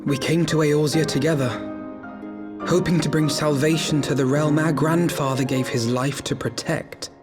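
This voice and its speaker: A man playing an earnest, troubled young nobleman in a game cutscene, with formal, eloquent, measured speech. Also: a little high, steady tone, close to an everyday voice. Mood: sorrowful. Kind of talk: video game cutscene acting. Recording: background music under the dialogue.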